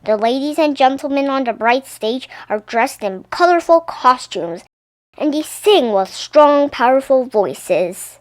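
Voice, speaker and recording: A woman narrating a children's story in an animated, theatrical read-aloud style. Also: sits high, unhurried, dry recording. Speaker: storybook reader.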